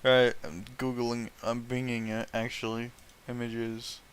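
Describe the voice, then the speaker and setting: A man's voice: mid-range voice, flat delivery, a little slow, slight background noise. A man hosting a casual read-aloud with mocking commentary, foul-mouthed and incredulous, often breaking off to react. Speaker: host reading fan fiction aloud and commenting.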